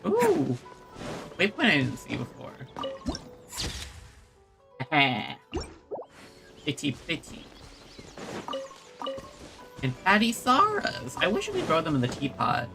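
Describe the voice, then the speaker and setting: Casual game streamer: animated, fry-edged voice, halting wry asides, faint music behind. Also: mid-range voice. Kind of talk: casual gaming commentary.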